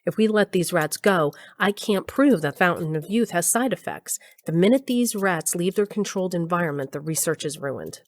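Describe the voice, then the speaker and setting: An actress playing a pragmatic, earnest research scientist in an audio drama, reasoning and explaining. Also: female voice, on the low side, animated, normal speed, few pauses, dry recording. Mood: earnest, firm.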